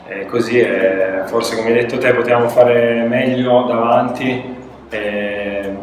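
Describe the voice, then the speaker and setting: A man's voice: medium pitch, takes it slowly, background noise. A young man, a football player, answering questions at a post-match press conference in a plain, matter-of-fact way. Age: young adult. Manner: flat, matter-of-fact, even. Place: press room with a sponsor backdrop. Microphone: table mic on a stand. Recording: echoey room, mic at a distance.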